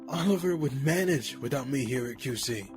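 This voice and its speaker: A man's voice: mid-range voice, lively intonation, moderate pace, over music, natural voice. An actor playing an earnest, hesitant, devoted man in love in an intimate audio drama. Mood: earnest, loving.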